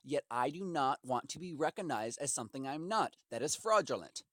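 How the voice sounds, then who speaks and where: A man's voice: medium pitch, animated, brisk, a bit bright, slight background noise. A man arguing in an impassioned, rambling way as a caller, sounding aggrieved and preachy, with heavy emphasis. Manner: emphatic, aggrieved, preachy, acts out imagined quotes. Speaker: caller to customer service. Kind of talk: impassioned rambling argument on a phone call.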